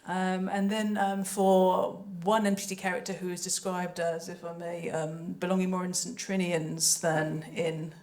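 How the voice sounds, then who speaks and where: A woman's voice: on the low side, level tone, a little slow, few pauses, darkish tone. A woman speaking on a panel as a composer and sound designer, chatty, hesitant and often interrupting herself. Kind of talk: panel talk about creative work.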